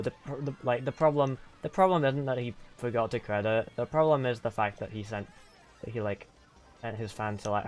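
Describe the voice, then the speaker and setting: A young man streaming and chatting casually with viewers, rambling with lots of "like" and restarts, venting at times. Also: medium pitch. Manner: venting, exasperated. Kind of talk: casual livestream chat with viewers. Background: faint game music.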